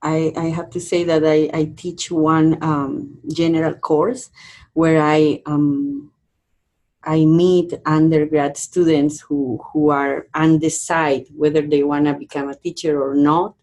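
Low-voiced Peruvian-born woman educator. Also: flat delivery, a little mellow. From Peru. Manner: thoughtful, halting, many fillers and restarts. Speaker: university educator, guest. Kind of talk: podcast interview conversation.

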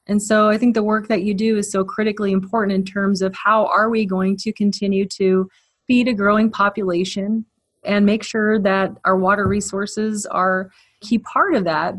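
A woman hosting a podcast, asking questions in an encouraging, conversational way. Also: mid-range voice. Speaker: podcast host. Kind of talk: interview questions.

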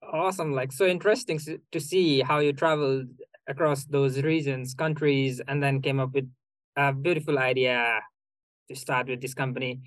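A man co-hosting a student podcast, asking questions in a hesitant, halting way with many fillers. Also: medium pitch, fairly even intonation, dry recording, poor audio. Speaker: co-host, student. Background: faint music under the intro.

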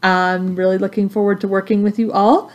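A woman's voice: medium pitch, flat delivery, brisk, lo-fi sound. A woman from Texas with an American accent, speaking as an instructor in a friendly, conversational introduction to students. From Texas, United States. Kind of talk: informal course introduction to students.

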